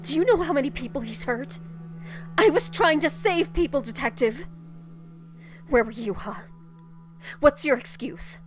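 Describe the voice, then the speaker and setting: An actress playing a young rookie superhero, grieving and defensive, in a halting, emotional audio drama performance. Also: female voice, high voice, lively intonation. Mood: anguished, pleading. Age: young adult. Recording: music behind the voice.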